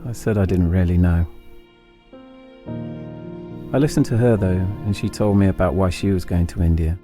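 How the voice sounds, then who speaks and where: A middle-aged man with an English accent and a low-pitched voice narrates his own story. His delivery is reflective and deliberate, broken into short phrases. Instrumental music plays clearly behind him. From England. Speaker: podcast host and narrator. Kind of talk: autobiographical storytelling, scripted narration.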